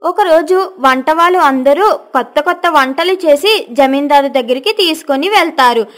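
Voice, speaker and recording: High-pitched woman storyteller. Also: moderate pace. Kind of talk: scripted children's moral story narration.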